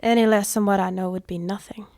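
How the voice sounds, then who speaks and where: A woman's voice: mid-range voice, fairly even intonation, fast, creaky, a little mellow, dry recording, natural voice. A woman playing a sardonic young assistant in a western radio serial, speaking frankly and formally. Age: young adult.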